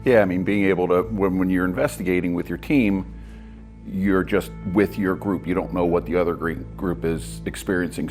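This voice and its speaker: Man, very deep voice, investigator, thoughtful, explanatory. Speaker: paranormal investigator.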